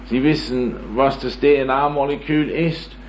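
A man's voice: mid-range voice, steady tone, slow pace. Rambling man in his nineties lecturing. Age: 90s. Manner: rambling, self-mocking, tag questions, many hesitations. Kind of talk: informal lecture to an audience. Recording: dull recording with faint hum.

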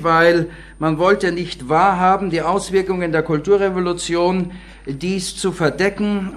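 Earnest higher-voiced male preacher. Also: a bit bright. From born in the city of the talk. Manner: earnest, reads newspaper quotes aloud. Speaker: guest preacher. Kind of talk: sermon.

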